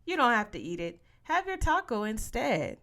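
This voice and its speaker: A woman's voice: fairly high pitch, big pitch swings, normal speed, a bit bright, pitched above the performer's own voice. A woman voicing a kind, upbeat female PE coach in a story, with a friendly, coaxing manner. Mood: encouraging.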